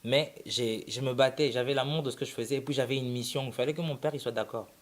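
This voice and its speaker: A man's voice: medium pitch, fast talker. A young man from Congo-Kinshasa, a musician telling his story in a casual, rambling way, often restarting his sentences. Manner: relaxed, rambling, with many restarts. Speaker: musician guest. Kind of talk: casual interview, mixing French and Lingala.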